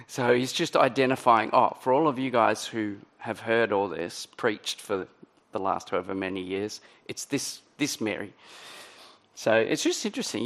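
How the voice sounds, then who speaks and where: A middle-aged man preaching a sermon in a conversational way, his voice a little croaky. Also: on the low side, fairly bright tone. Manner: conversational, many ums and restarts. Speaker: pastor.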